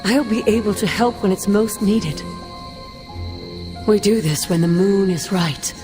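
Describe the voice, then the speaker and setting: An actress playing a wise, caring sorceress spirit mentor with measured, solemn delivery in a video game cutscene. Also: female voice, mid-range voice, close to an everyday voice. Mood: warm, resolute. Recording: music behind the voice.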